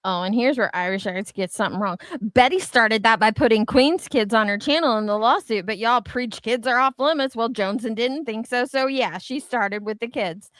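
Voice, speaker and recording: A woman's voice: fairly high pitch, moderate pace, few pauses, fairly bright tone, dry recording. A woman chatting casually as a co-host, blunt and gossipy, swearing freely and reading comments out dramatically. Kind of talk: casual chat with dramatic read-outs.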